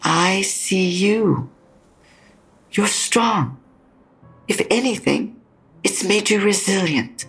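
An actress playing a frank, consoling older mother near death in an audio drama, speaking slowly and haltingly with a husky, creaky voice. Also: mid-range voice, expressive, faint music behind, exaggerated voice. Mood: consoling, earnest. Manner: slow, halting, probing. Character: dying mother, frank, consoling, stubbornly insistent.

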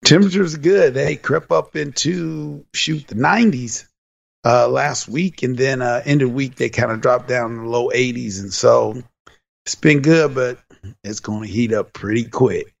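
Man, raspy, hoarse, gravelly, relaxed rambling talk, muffled lo-fi line. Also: mid-range voice, measured pace. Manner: relaxed, rambling, many fillers. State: hoarse from yelling on the sideline. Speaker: co-host, high school football coach. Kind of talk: casual podcast chat.

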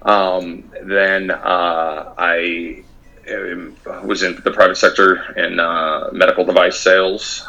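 A man being interviewed about his past in a measured way, with frequent fillers and restarts. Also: low-pitched, flat delivery, sharp tone, dull audio. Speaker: guest, former soldier.

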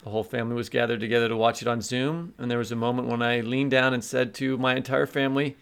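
A man's voice: mid-range voice, level tone, fast, gravelly, fairly bright tone, clean tone. A man co-hosting a podcast in a casual, conversational style. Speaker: podcast co-host.

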